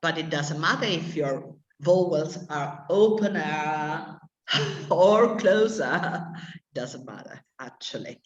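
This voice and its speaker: A woman's voice: deep voice, animated, slow pace, echoey room, muffled recording. A woman teaching English to adult learners, stopping often to search for words mid-sentence. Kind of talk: classroom explanation to adult learners.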